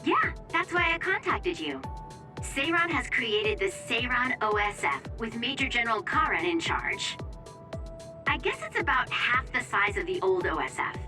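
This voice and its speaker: Perky, high-voiced young woman operator. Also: very animated, normal speed, music in the background. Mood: upbeat. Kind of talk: English video game voice acting.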